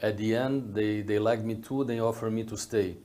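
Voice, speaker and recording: Low-voiced man, halting in thought. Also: steady tone, warm tone. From Brazil, grew up in Rio. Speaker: interview guest, hotel executive. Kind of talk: conversational interview answers.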